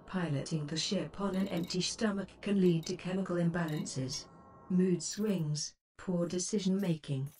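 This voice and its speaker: A woman's voice: fairly low pitch, darkish tone, close to an everyday voice. A woman voicing a composed spaceship computer assistant in a game, speaking formally and precisely in scripted advisory lines. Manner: formal, measured, precise phrasing. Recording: slightly distant, noisy game-stream capture.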